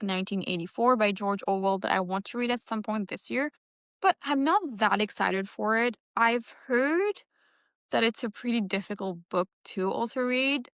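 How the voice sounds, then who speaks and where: A woman's voice: medium pitch, fairly bright tone, no echo, clean studio sound. A young woman, a Creole speaker, chatting casually about her plans and thinking aloud with a light, self-deprecating touch. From Mauritius. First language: Mauritian Creole. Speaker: book vlogger. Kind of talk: casual reading-plans chat.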